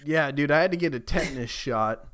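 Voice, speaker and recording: A man co-hosting a podcast in a sweary, deadpan style of crude, absurd comic banter. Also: on the high side, a little fast. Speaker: podcast co-host.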